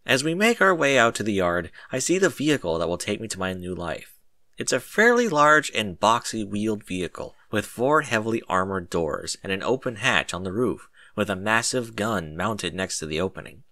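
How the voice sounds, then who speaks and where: A man reading a first-person story aloud in an expressive, dramatic way. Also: on the low side, normal speed, dry recording, clean studio sound. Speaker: narrator. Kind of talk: first-person story narration with acted dialogue.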